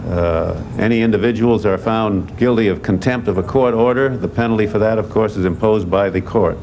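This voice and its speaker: A man, a government legal official, answering reporters in cautious, measured, formal phrasing. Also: lowish voice, muffled recording. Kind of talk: press Q&A answers. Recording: 1981 press event tape with clear background noise.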